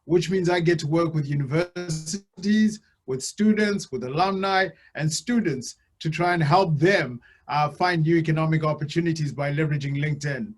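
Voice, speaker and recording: A man from Zimbabwe speaking as the guest on a webinar, with an upbeat, energetic manner over a video call. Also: a little high, normal speed, hardly any pauses. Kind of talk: webinar presentation.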